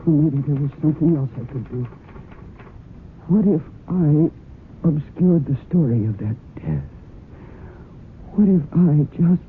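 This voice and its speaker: Guilt-ridden horse owner, radio mystery drama, halting dramatic delivery, thin phone-like sound, faint noise. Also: female voice, low-pitched. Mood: tense, resolved. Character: distraught, guilt-ridden horse-owning woman, fiercely protective, confessing. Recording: tinny band-limited old radio broadcast, noisy.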